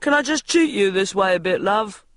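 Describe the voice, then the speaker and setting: A loud, brash crew man barking orders across a house, as a woman reader puts him on. His voice is pushed and lively, recorded close and dry. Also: medium pitch, moderate pace, no echo, voice pushed up, close to an everyday voice. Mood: coaxing. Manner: loud, bellowing. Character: brash clumsy male TV crew worker.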